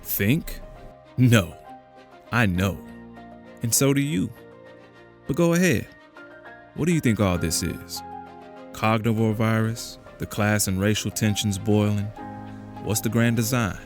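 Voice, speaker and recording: A slow-talking man played by an actor with a lowish voice voices an embittered conspiracy believer in a naturalistic audio drama. He is sardonic and accusing, stressing his points with scornful emphasis over faint music. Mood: insistent, challenging. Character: Carlos, bitter, sardonic male conspiracy believer.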